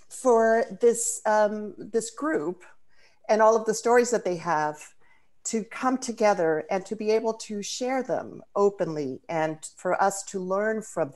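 A woman's voice: medium pitch, a little mellow. A woman from the Dominican Republic, a native Spanish speaker, hosting a video call event in a thoughtful way with frequent fillers and restarts. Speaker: host.

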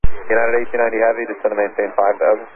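Male air traffic controller, brisk and low-pitched, on a tinny radio channel. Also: flat delivery. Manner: brisk, courteous, procedural. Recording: tinny narrowband radio, faint static.

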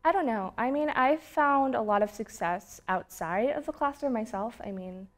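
A woman's voice: on the high side, expressive, normal speed. A young woman student giving earnest, musing answers in a documentary interview in a studio. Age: young adult. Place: studio with plain backdrop.

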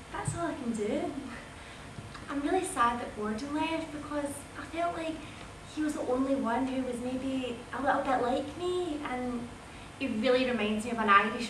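A woman with a high voice talks in a halting, casual way, full of little fillers. She stands a way from the mic, and the room echoes around her. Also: fairly bright tone, far from the mic, a little hiss or hum. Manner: casual, hesitant, lots of likes and ums. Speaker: stage actor. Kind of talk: confessional monologue.

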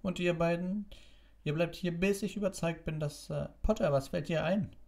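A man voicing a brisk, indignant, middle-aged female hospital matron in a storybook reading, bossy and scolding. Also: on the high side, gravelly, a little mellow, voice pushed up. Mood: stern.

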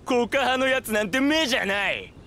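Sharp, high-pitched sneering thug. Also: male voice, animated, normal speed, slight background noise, full character voice. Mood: menacing. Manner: rough, mocking, threatening. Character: sneering, hot-tempered adult male thug, bullying. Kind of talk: Japanese anime-style game dub acting.

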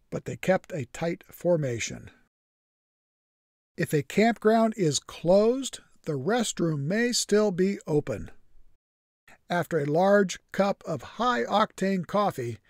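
A man's voice: high-pitched, a little mellow, dry recording. A man narrating his camping trip in a scripted voice-over, matter-of-fact with dry humor. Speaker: vlogger.

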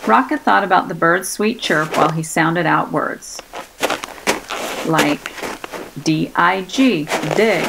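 Creaky, lowish-voiced woman reading a storybook. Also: takes it slowly, background noise. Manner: expressive, careful enunciation for young listeners. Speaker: storybook reader. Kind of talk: scripted children's storybook narration. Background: music.

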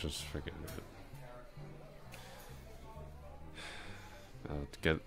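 A man playing a drunk, lovestruck coworker in an intimate ASMR roleplay, rambling bashfully, halting, repeating himself and putting himself down. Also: extremely low pitch, fairly bright tone, full character voice. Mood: giddy, rambling.

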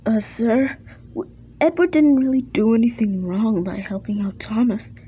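A woman voicing a calm, reasonable male engine in a children's story, hesitant and placating. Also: fairly high pitch, moderate pace, husky.

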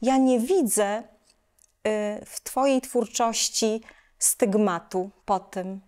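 A woman's voice: medium pitch, takes it slowly, darkish tone. A middle-aged woman from Poland hosting an interview in a reflective, conversational style. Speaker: host, interviewer. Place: studio set styled as a library lounge, indoors.

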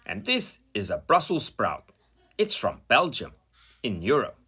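A prim, proper little girl pig from a storybook, as a man puts her on, her voice swooping high and low. The sound is bright but husky, and she takes her time over each word. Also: huge pitch swings, a little slow, heavily acted. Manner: bright, exaggerated high delivery.

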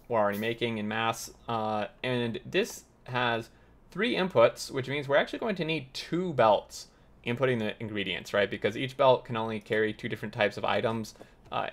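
Guy doing a game tutorial, kinda gravelly and a bit raspy, lots of uhs, keyboard clacking behind. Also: medium pitch. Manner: step-by-step, thinking aloud with many uhs. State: slightly raspy, losing his voice. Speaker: gaming tutorial host. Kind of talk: explaining gameplay while playing.

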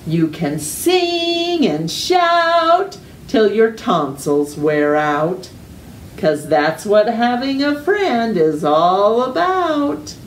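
Very slow, expressive woman reading a storybook. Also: a little high. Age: middle-aged. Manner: deliberate, exaggerated storytime delivery with drawn-out words. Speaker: storytime host reading to children. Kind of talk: expressive read-aloud of a children's picture book. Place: living room at home. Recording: roomy echo, distant mic, faint noise.

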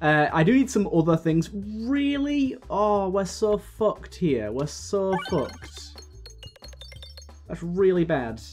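A man with a slightly raspy voice from a coming cold, chatting casually as he plays and comments on a video game, thinking aloud with plenty of fillers. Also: high voice, lively intonation, takes it slowly, a little mellow. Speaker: gaming video host. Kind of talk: casual let's-play commentary. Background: faint game music.

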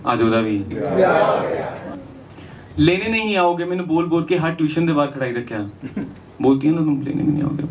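A man's voice: on the high side, expressive, normal speed, pauses often. A man performs lyrical stand-up on stage, moving between rhyming verse and conversational asides. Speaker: stand-up comedian. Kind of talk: lyrical stand-up comedy, rhymed storytelling. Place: small indoor comedy venue on stage. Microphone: handheld microphone. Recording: distant, noisy live stage sound.

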